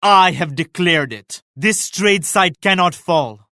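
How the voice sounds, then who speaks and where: An actor playing a devout, regal Mughal emperor in a video game, speaking in a formal, grave and pious manner. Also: male voice, high voice, slow pace, pushed and bright, a little hiss or hum, heavily acted. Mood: defiant, solemn. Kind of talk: scripted game character lines.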